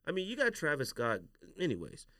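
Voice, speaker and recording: A man's voice: a little high, wildly swooping pitch, brisk, bright, forceful tone, dry recording, clean studio sound. A man hosting a casual podcast chat, speaking in a slangy, opinionated way. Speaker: podcast host.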